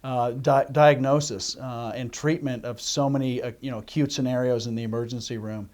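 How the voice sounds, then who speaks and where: A middle-aged man hosting a medical interview, conversationally recapping and circling his way into questions with a halting delivery. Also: mid-range voice, fairly even intonation, darkish tone. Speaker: interview host, doctor.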